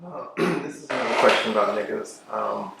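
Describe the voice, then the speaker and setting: A man's voice: mid-range voice, animated, brisk, vocal fry. A man in the audience tentatively and casually asking a question, recorded distantly in an echoing, noisy room.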